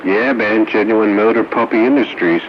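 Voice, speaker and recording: Deep-voiced man on muffled radio. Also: normal speed. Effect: two-way radio transmission. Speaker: amateur two-way radio operator. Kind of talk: casual radio banter. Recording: muffled, noisy two-way radio audio.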